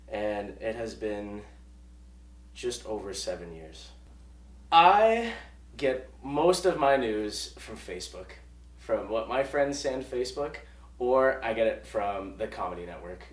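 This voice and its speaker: Young guy, twenties, dry humor, roomy echo, mic kinda far. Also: fairly low pitch. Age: 20s. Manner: wry, self-deprecating. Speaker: interviewee. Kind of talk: church video interview.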